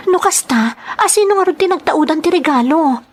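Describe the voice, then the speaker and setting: A woman's voice: sits high, lively intonation, brisk. Emotional young woman, protesting , acted by a woman. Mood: questioning. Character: startled, protesting young woman pursued by a suitor. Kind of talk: audio drama acting.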